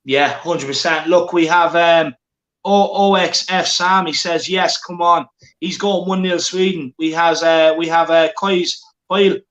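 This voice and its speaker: A man's voice: on the high side, fairly even intonation, unhurried, fairly bright tone, reverberant, low-quality recording. A man hosting a football watchalong, chatting casually and reading out viewer comments. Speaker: watchalong host.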